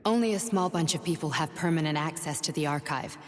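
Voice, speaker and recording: A woman's voice: low voice, fairly quick, natural voice. An actress playing an intense, defiant woman scientist in a video game, earnest and pointed as she explains things. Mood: explanatory. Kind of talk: video game cutscene acting. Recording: long reverberant hall sound.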